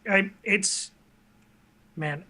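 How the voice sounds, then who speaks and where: A man's voice: high-pitched, extremely slow, pushed and bright. A man hosting a casual talk show, speaking conversationally with an enthusiastic manner.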